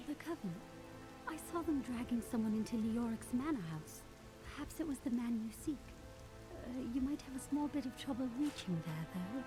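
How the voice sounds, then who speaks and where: An actress playing a flustered, roguish young female thief in a video game, chatty and expressive. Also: a little high, moderate pace, over music, close to an everyday voice. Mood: sheepish.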